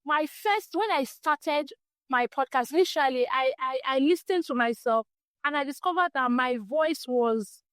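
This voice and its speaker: Woman, high tiny voice, Nigerian English accent, earnest and encouraging, dry close sound. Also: lively intonation, unhurried, fairly bright tone, dry recording. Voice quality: small, light voice. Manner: earnest, faith-centered, encouraging. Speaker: podcast host. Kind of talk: reflective solo podcast talk.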